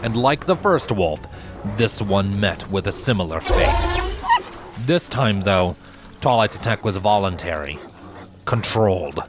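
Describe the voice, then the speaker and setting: A man reading scripted fan fiction as a narrator, with measured, dramatic storytelling. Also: fairly low pitch, animated. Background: background music.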